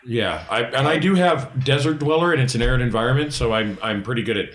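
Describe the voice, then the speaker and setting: A man's voice: mid-range voice, expressive, fast, fairly bright tone. A man playing a tabletop roleplaying game, chatting casually with a joking, wry manner. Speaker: player.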